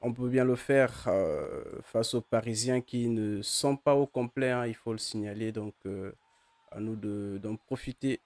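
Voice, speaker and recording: A man with a mellow, darkish voice, worn by the flu, calls a football match live for his viewers. He hesitates often, filling gaps with drawn-out fillers and restarting his phrases. The sound is dry and close, with no room around it. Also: fairly low pitch, no echo. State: has the flu, voice not at its best. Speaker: fan live-streamer commentating a match. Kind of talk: casual live match commentary with chat.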